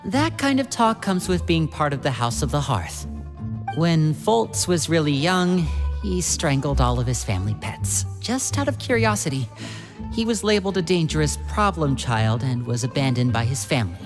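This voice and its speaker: Low, lively teenage boy. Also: normal speed. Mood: composed. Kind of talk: video game voice acting. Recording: game music behind.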